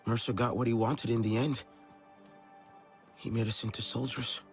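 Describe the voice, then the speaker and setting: A man's voice: medium pitch, steady tone, normal speed, soft background music, natural voice. Earnest young alien man played by an actor. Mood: earnest, subdued. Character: young alien clan survivor, earnest, searching, uncertain. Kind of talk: dramatic game cutscene acting.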